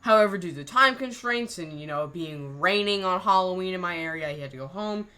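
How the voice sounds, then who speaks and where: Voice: medium pitch, lively intonation, a little fast, sharp tone, smooth. A channel creator casually explaining scrapped plans in a rambling way, full of fillers and self-corrections. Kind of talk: casual behind-the-scenes commentary.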